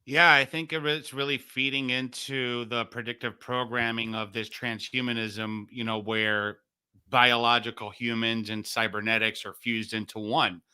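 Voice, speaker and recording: This is a male podcast panelist in casual discussion. His voice is strikingly bright and sharp, with crisp clarity and a middling pitch. He offers his opinions conversationally. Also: little pitch movement, moderate pace.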